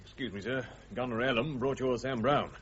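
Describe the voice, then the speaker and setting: A man's voice: mid-range voice, brisk, close to an everyday voice. A man playing a respectful, slightly hesitant British army soldier reporting to officers in a radio drama. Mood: deferential. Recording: muffled radio recording with background noise.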